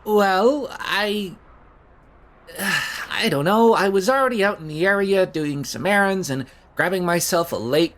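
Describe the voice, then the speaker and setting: Woman acting hesitant, low-voiced nervous young job seeker. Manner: hesitant, stammering, rambling. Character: awkward, nervous young job seeker caught sneaking at night. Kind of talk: naturalistic audio drama acting.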